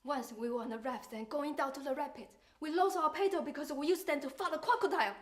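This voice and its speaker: A woman's voice: high-pitched, quick pace, reverberant, lightly acted. A woman with a Mandarin Chinese accent, a native Mandarin speaker, playing an earnest actress. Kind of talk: short film acting.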